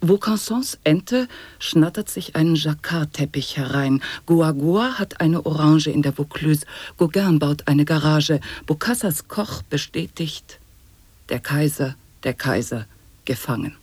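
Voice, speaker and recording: A really slow husky reciter of nonsense poetry, deliberate, radio play. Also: male voice, a little high, a little mellow, no echo. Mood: deliberate, declamatory. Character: reciter of absurd arguments and dense nonsense wordplay. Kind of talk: avant-garde radio play monologue of sound poetry.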